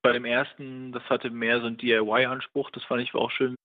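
A man's voice: medium pitch, little pitch movement, moderate pace. A man being interviewed as a writer, speaking reflectively and hesitantly, searching for his words. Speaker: writer and radio play director interviewed. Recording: muffled phone-like remote line.

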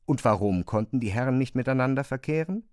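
A man's voice: mid-range voice, lively intonation, fast talker, dry recording, clean studio sound. A man reads scripted literary narration for a radio drama.

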